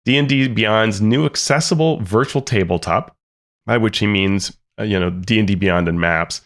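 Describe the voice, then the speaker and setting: Gravelly low-voiced man. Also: normal speed. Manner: reads quotes aloud then comments, many restarts. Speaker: podcast co-host. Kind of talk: casual podcast discussion.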